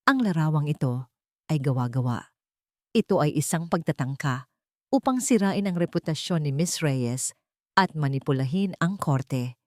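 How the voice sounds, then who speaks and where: A woman's voice: low voice, animated, moderate pace, dry recording, clean studio sound. A woman voicing a protective, resolute male billionaire who speaks firmly and formally in a courtroom drama story. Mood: firm, defiant. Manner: firm, formal, declarative.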